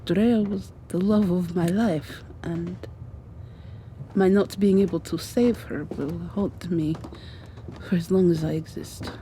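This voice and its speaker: A woman voicing a devoted, guilt-ridden rescuer in an ASMR roleplay drama, hushed, halting and earnest. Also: lowish voice, steady tone, a little hiss or hum. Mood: remorseful, tender.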